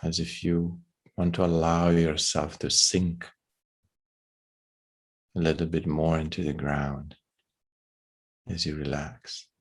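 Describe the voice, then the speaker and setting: Low voiced guy doing a guided meditation, kinda husky, gentle and unhurried. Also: flat delivery. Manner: slow, gentle, unhurried phrasing with long pauses.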